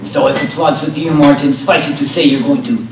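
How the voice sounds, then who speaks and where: A man's voice: a little high, steady tone, brisk, close to an everyday voice. Young actor as scheming godfather, far-off stage. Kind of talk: amateur stage comedy acting. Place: school auditorium stage, filmed from audience. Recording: roomy far-off stage recording, audience noise.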